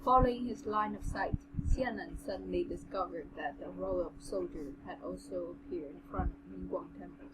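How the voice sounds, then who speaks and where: A woman co-hosting an amateur novel reading, moving between narration and casual commentary. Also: medium pitch. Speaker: co-host reading a novel aloud. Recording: clearly noisy amateur home recording.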